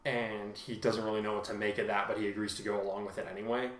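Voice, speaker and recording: A man chatting casually as a podcast host, thinking aloud in a halting, informal way. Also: fairly low pitch, level tone, reverberant. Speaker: podcast host and fan fiction writer. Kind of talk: casual chat.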